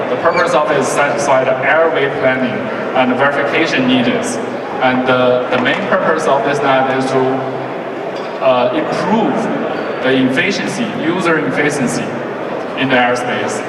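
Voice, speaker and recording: A man who is not a native English speaker gives an explanatory conference presentation in halting English. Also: medium pitch. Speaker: conference presenter, tech executive. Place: large exhibition forum, indoors. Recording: very echoey noisy hall, distant from mic.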